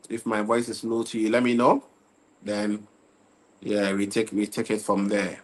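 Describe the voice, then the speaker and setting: A man's voice: lowish voice, low-quality recording. Tired man hosting a football livestream. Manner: repetitive, checks in with viewers, tired and loose. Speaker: livestream football talk host. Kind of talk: casual livestream chat.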